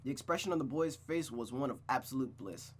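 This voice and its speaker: Story reader with excited asides. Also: mid-range voice, a little fast, a little hiss or hum. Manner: reads the narration, then breaks off into blunt, excited asides. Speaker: channel host reading a visual novel aloud. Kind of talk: narration with casual reactions.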